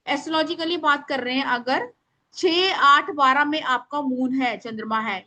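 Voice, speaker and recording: A woman with a loud, strong voice, teaching an informal live online class in an instructive, emphatic way, mixing in English words. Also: sits high, poor audio. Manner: instructive, emphatic, addresses listeners by name; mixes English words into speech. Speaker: astrology remedies teacher.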